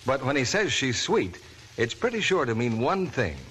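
A 1940s male radio announcer selling a product, smooth and persuasive, with a lively, rising and falling delivery over faint broadcast hiss. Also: medium pitch, normal speed. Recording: old noisy radio broadcast.

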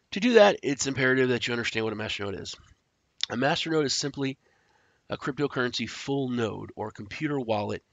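A man who is sick and stuffed up, casually walking through a screen-share tutorial, rambling with fillers and clearing his throat. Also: medium pitch, no echo. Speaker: crypto tutorial host. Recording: dry, dull lo-fi audio.

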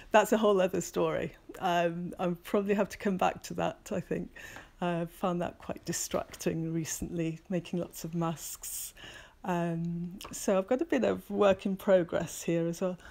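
Woman, low-pitched and unhurried, hesitant maker talking through her studio on a phone camera. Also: creaky, darkish tone. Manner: hesitant, many ums, practical and understated. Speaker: milliner giving a studio tour. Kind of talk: casual talk while showing hats. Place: small hat workshop indoors, bright window light. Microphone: phone camera held by speaker.

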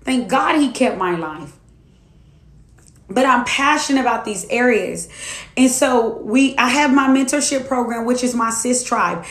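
A woman's voice: on the high side, a little slow, roomy sound. A woman in her twenties, a minister and life coach, preaching her testimony to viewers in an emphatic, repetitive cadence. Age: 20s.

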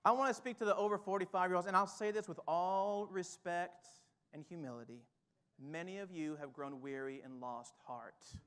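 High-voiced male pastor preaching on stage. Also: moderate pace, smooth. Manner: builds from quiet to fired-up exhortation. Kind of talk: sermon. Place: church stage with drum kit, indoors.

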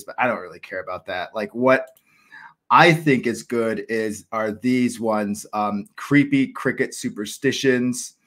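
A man's voice: medium pitch, fairly bright tone. Chatty American man on a livestream. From United States. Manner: rambling, enthusiastic, many fillers and restarts. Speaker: cricket channel host. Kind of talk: casual livestream chat. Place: small room indoors at home.

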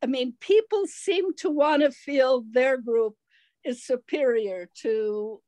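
A woman's voice: high voice, slow, a little mellow, dry recording. An older woman on a video call asking an earnest, musing question from home. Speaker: audience member asking a question. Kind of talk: casual discussion.

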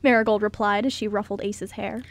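A woman's voice: mid-range voice, fairly even intonation, fairly quick, slight background noise. A woman reading scripted story narration as the narrator of an amateur radio drama.

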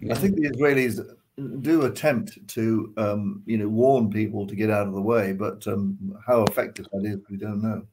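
A man's voice: on the low side, fairly even intonation, moderate pace, a little mellow, poor audio. A man giving his views casually as a panellist in a discussion over a video call. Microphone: video call microphone. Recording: video call with faint noise, clicks.

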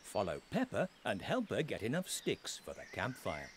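A man's voice: fairly low pitch, huge pitch swings, moderate pace, very noisy. A man playing a friendly, encouraging narrator of a children's cartoon game. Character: friendly, encouraging adult male storybook narrator.

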